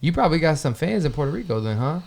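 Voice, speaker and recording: A woman hosting a podcast, chatting in a laid-back, slangy way with lots of quick agreement. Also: sits low, big pitch swings, creaky, darkish tone, a little hiss or hum. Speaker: podcast host. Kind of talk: casual interview chat.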